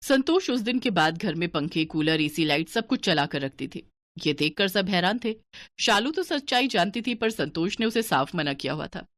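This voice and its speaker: A woman giving steady, scripted narration for a cartoon moral story. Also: fairly low pitch, normal speed, dry recording.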